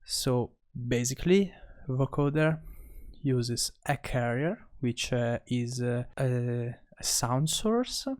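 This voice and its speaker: A man narrating a software tutorial as an instructor, speaking haltingly and pausing between short phrases. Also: mid-range voice, animated.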